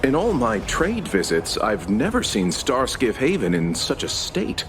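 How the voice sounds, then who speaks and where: A man's voice: medium pitch, wildly swooping pitch, unhurried, background noise. A man voicing a composed, wry travelling merchant in an anime-style game, polite and formal. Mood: polite, curious.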